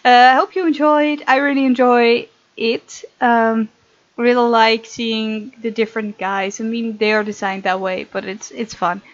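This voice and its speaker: A woman with a fairly high voice reads a visual novel aloud, adding casual, expressive commentary. The sound is dry and a little muffled, like a modest home microphone. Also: unhurried, no echo. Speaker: gaming video host.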